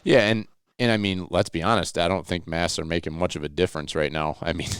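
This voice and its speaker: A man's voice: low voice, steady tone, fast, fairly bright tone, smooth, dry recording, studio quality. A man hosting a casual outdoors podcast with live calls, talking in a rambling, joking, jovial way.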